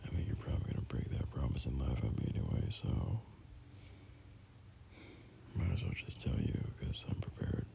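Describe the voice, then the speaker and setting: A man playing a gentle, teasing young boyfriend in an intimate ASMR roleplay, speaking softly and breathily, often half-whispering in a hesitant, soothing way. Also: extremely low pitch, expressive, moderate pace, gravelly, pauses often, full character voice. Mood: hesitant, confiding. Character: gentle, teasing young boyfriend comforting his scared partner. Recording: faint background hiss.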